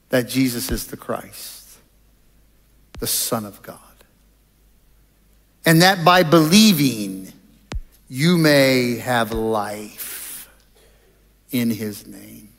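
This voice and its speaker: Unhurried middle-aged male preacher in a hall. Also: a little high, fairly bright tone. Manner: measured, deliberate delivery with pauses. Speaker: preacher at a university chapel. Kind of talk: chapel sermon, explanatory. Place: large auditorium stage. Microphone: headset microphone. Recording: reverberant hall sound.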